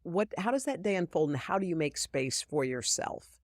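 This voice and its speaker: A woman's voice: on the low side, big pitch swings, moderate pace, dry recording, studio quality. A woman hosting a conversational interview, an encouraging listener who keeps affirming her guest. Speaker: host.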